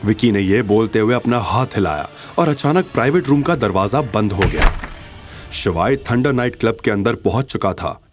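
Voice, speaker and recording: A man's voice: mid-range voice, slight background noise. A man narrating a scripted audio drama story in a dramatic style. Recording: faint music behind.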